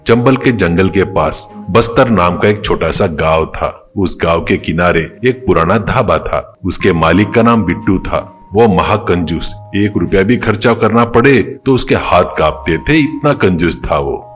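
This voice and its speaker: A man's voice: low voice, expressive, measured pace, few pauses, soft background music. A man narrating a scripted moral story.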